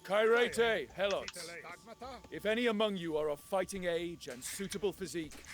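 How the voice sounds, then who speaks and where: An actor playing a noble, resolute Spartan commander in a historical video game, speaking in a formal, declamatory way. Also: male voice, sits high, normal speed, slight background noise, close to an everyday voice. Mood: magnanimous authority.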